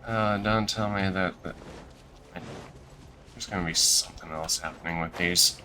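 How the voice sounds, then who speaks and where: A man's voice: low-pitched, moderate pace, bright, forceful tone, a little hiss or hum. A man giving casual live commentary as he plays a game, muttering to himself in a self-deprecating way. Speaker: game streamer. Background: video game music.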